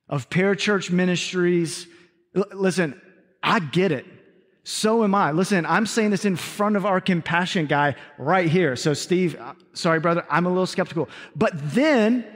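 A man preaching to his congregation as pastor, energetic, with call-and-response asides, then interviewing a guest on stage. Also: high voice. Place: large church sanctuary. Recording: reverberant church hall.

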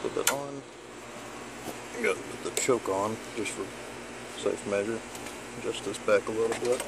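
Low-voiced man, noisy outdoors. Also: moderate pace. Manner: relaxed, rambling, with restarts. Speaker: product reviewer. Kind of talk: casual product review. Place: outdoors on a lawn beside a carport. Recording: noisy outdoor phone recording. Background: running engine and spraying water.

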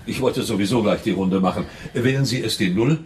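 An actor playing a courteous hospital doctor on night duty in a German radio crime drama. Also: male voice, fairly low pitch, brisk, darkish tone, slight background noise, close to an everyday voice. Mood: courteous, concerned.

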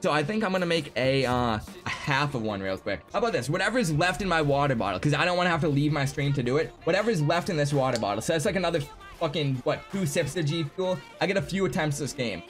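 A young man in his twenties live-streaming a game from his home setup, chatting excitably with viewers, swearing freely and going hoarse. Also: on the high side. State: losing his voice. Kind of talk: live gaming stream chat. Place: home gaming room.

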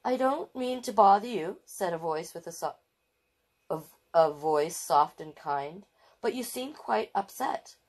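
A woman's voice: fairly low pitch, a little slow. A woman hosting a storytime, reading a children's book aloud expressively and adding affectionate, chatty asides. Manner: expressive, affectionate commentary, repeating phrases. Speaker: storytime host reading picture books.

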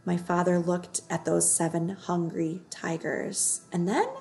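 A woman in her thirties narrates a children's story with expressive emphasis. Her voice has plenty of fry, and she is recorded at home on a laptop microphone. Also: lowish voice, measured pace, soft background music. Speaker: read-aloud narrator. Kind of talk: expressive children's book reading. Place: living room on a couch, indoors.

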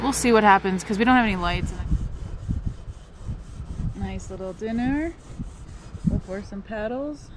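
A middle-aged woman talks casually to the camera as a travel vlogger. Her pitch sits in the middle of the usual female range. The recording is live camera audio with some light ambient noise behind her. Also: unhurried, pauses often. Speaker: vlog host. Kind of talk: casual travel vlog chat. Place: cabin interior and wooded campsite.

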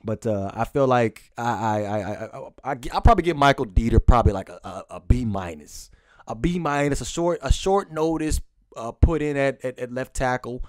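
A man talks through a post-game football recap in an informal, unscripted way. He speaks haltingly and repeats phrases for emphasis, like a fan running his own sports vlog. His voice sits at an average pitch, and the sound is close and dry with very little room echo. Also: lively intonation.

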